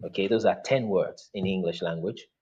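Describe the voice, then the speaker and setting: Husky-voiced man on a lo-fi call. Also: low-pitched, dark tone. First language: Yoruba. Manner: earnest, measured, with frequent fillers. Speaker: interview guest, ministry speaker. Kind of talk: explanatory interview talk over a video call.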